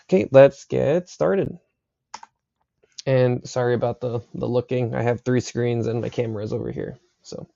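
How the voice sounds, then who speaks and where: Young man, engineering lecturer, raspy and tired, mellow low tone, casual filler-heavy talk, dry room. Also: no echo. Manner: casual, thinks aloud with many fillers and "right?". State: raspy from late night. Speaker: university engineering instructor. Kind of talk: recorded lecture over slides. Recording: slightly dull dry recording.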